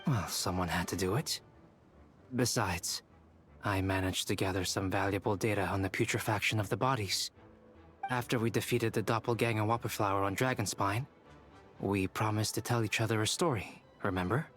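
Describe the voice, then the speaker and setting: A man voicing a composed, clinical young alchemist in a video game, measured and formal. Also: fairly low pitch, fairly even intonation, a bit bright, natural voice. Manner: measured, matter-of-fact, formal. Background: game music.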